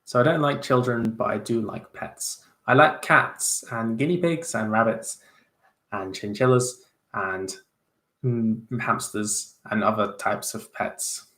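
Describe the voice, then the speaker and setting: A man's voice: mid-range voice, slow, fairly bright tone. A young man chatting casually as he answers viewer questions on a livestream, self-deprecating, with many fillers and restarts. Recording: roomy echo.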